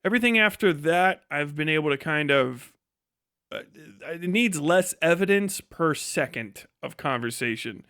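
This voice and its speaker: A man's voice: a little high, animated, unhurried, sharp tone, clean tone, no room sound, clean studio sound. A man chatting casually on a livestream about his work, rambling and swearing heavily in a sardonic, exasperated way. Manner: heavily profane. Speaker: livestreamer and video creator giving a work update.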